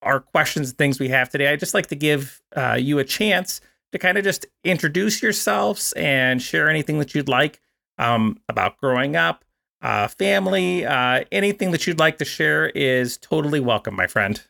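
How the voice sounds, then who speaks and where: A man's voice: fairly high pitch, expressive, moderate pace, vocal fry, bright, forceful tone. A man hosting a podcast interview, chatting in a friendly, rambling way full of fillers. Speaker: podcast host.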